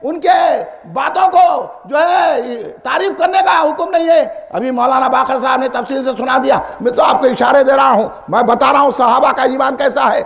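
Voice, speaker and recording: High-pitched, fiery male Deccani preacher in echoing hall. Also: vocal fry, hardly any pauses, a bit bright, a faint crowd. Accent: Hyderabadi Deccani. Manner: combative, emphatic, repetitive, with rhetorical questions. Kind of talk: fiery polemical sermon. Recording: long hall reverb on amplified speech.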